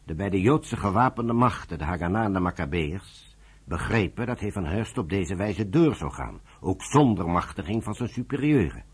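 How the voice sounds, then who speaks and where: Low, slightly husky male narrator. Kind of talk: scripted radio drama narration.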